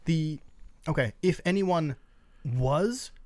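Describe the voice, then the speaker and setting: A man's voice: on the high side, slow, darkish tone, no echo. A man co-hosting a gaming news show, giving casual, opinionated commentary in an informal, explanatory way.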